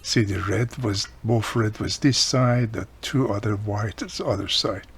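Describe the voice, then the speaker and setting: Husky man giving a hands-on tutorial. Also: fairly low pitch. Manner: halting, repeats himself, explains patiently in short phrases. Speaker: presenter of a radio repair tutorial. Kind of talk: informal step-by-step hands-on tutorial.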